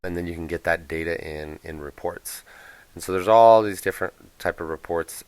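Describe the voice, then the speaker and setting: A man presenting a casual software walkthrough in a plain-spoken, explanatory, off-the-cuff way. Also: low voice, moderate pace, clean tone, dry recording.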